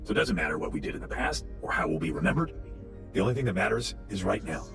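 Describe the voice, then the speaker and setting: An actor playing a self-absorbed washed-up horse actor, speaking in a wistful, musing way. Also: male voice, fairly low pitch, big pitch swings, fast, creaky, fairly bright tone, faint music behind, full character voice. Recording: roomy clip from far off.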